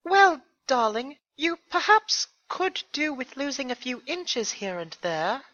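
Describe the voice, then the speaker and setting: Man as a shrill, high-pitched prim lady unicorn. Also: big pitch swings, slow pace, sharp tone, no room sound, dull audio, heavily acted. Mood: tactful, prim. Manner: affected, drawling, dramatic. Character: prim, theatrical lady unicorn, easily scandalised. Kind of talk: fan fiction character reading.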